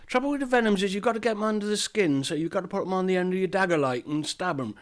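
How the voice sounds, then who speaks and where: A man's voice: high voice, animated, rapid-fire, voice pushed up. A man voicing a fussy, chatty male apothecary who cheerfully sells poisons with eager sales patter. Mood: chirpy, salesy.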